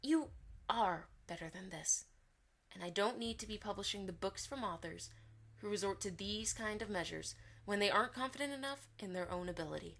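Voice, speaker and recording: A woman's voice: mid-range voice, a little fast, a bit bright. A woman playing a stern, commanding mare who runs a publishing house, lecturing bluntly in a fan audio drama. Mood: cold, warning. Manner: firm, lecturing.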